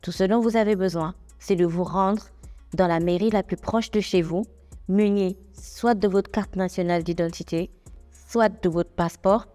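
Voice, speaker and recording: A young adult woman, presenting civic information in a clear, measured, explanatory way. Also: medium pitch, fairly even intonation, mellow, in a large hall, faint music behind. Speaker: radio host and reporter.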